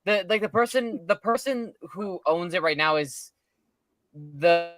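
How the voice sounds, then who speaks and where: A man's voice: high-pitched, a little slow. A man discussing things casually and matter-of-factly as a panelist on a podcast.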